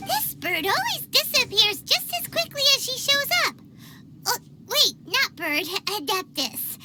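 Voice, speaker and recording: Squeaky, very high tiny fairy sidekick. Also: female voice, big pitch swings, unhurried, heavy background noise, full character voice. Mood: curious, excited. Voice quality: squeaky, childlike. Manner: bubbly, inquisitive, cheeky. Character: tiny excitable childlike female fairy companion, blunt and nosy. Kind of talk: scripted video game voice acting. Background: loud game music.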